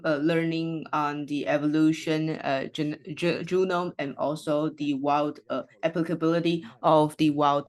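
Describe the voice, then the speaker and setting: An interpreter voicing a host's welcome remarks and housekeeping notes, hesitating often with fillers and restarts. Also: medium pitch.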